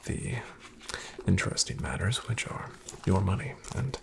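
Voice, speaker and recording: A man acts out a reassuring, formally polite financial advisor in a soft ASMR roleplay. His slightly low voice crackles heavily with fry and slips into breathy whispering. He speaks slowly and soothingly, with lively pitch movement and a quietly boastful polish. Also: exaggerated voice. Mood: calm, businesslike.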